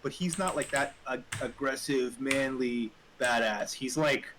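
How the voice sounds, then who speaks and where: A man's voice: medium pitch, measured pace, a bit bright. Man chatting casually over noisy keyboard clatter. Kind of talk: casual chat, opinionated film talk.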